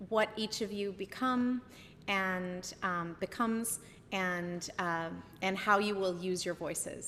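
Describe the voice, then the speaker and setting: A woman leading a worship service, speaking slowly and warmly with some restarts. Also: medium pitch, long reverb tail, slight background noise. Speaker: service leader.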